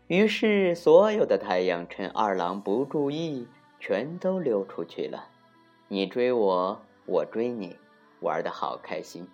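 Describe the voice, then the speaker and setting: A woman narrating a folktale for children as an expressive storyteller, deliberate and with dramatic emphasis. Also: very deep voice. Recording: muffled lo-fi recording with music.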